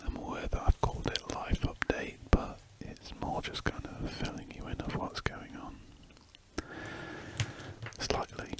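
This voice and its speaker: An ASMR drawing host chatting casually in a soft, meandering whisper with hesitant asides. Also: a little slow.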